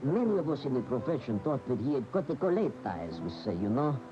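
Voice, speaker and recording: An actor playing a candid bullfighting professional, giving measured, confiding testimony in a radio drama. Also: male voice, medium pitch, sing-song, music in the background, lightly acted. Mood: candid, skeptical. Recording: dull AM radio tape.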